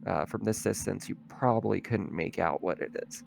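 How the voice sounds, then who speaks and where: A man running a tabletop roleplaying game as game master, describing scenes in a halting, improvised way. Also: low voice, creaky, a little mellow, a little hiss or hum.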